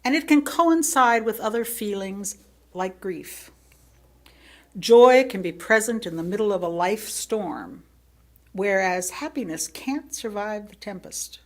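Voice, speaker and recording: A woman reading a sermon aloud to a congregation in measured, deliberate phrases with pauses between them. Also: medium pitch, expressive. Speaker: lay speaker reading another minister's sermon to a congregation. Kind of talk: sermon read aloud, with brief asides.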